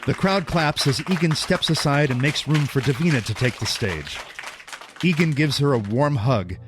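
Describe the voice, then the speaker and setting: Male narrator for audio drama: scripted, dramatic, mid-pitched, with clear music bed. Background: prominent music.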